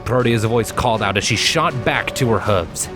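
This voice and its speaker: A man's voice: fairly low pitch, lively intonation, normal speed. A man reading fan fiction aloud as a dramatic, scripted story narrator. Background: background music.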